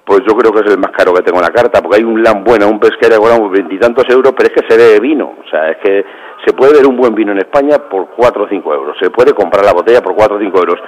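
A man with a lowish voice, calling in over a phone line to a radio show. He talks proudly and at length about his restaurant's food and wine. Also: quick pace. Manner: proud, talkative, repeats "espectacular". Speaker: restaurant manager.

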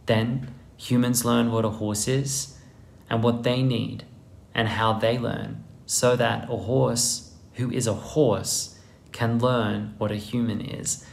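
A man's voice: medium pitch, level tone, creaky, a little hiss or hum. A man, narrating a scripted video essay in an earnest, emphatic way, recorded close to the camera in a small room. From Netherlands. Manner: earnest, emphatic, deliberate delivery. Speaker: horse trainer presenting a video essay. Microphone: close camera framing, head and shoulders.

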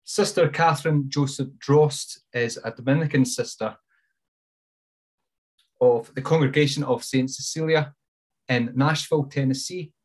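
A man's voice: mid-range voice, steady tone, unhurried. A man in his thirties moderating an online event, reading out practical notes and a speaker introduction. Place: indoors, on a video call. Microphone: wired earphone mic on a video call.

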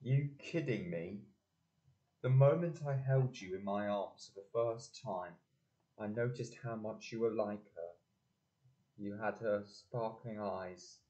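A man's voice: on the low side, slow, mellow, lo-fi sound. An older man playing a proud, encouraging father in a community audio play. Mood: proud, tender. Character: proud, encouraging working-class father, earnest storyteller.

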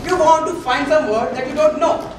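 Indian male actor as earnest, exasperated salesman, high voice, comic stage play, very echoey distant recording. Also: moderate pace. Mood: patient, hesitant. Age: young adult. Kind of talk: amateur comic stage acting. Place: auditorium stage, indoors. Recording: echoey noisy auditorium, distant microphone.

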